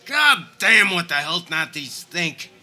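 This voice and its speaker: A man's voice: a little high, sing-song, takes it slowly, bright, forceful tone, soft background music, full character voice. A man playing a grumpy, complaining, old-fashioned husband in a comedic radio play, grumbling as he talks. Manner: grouchy, grumbling.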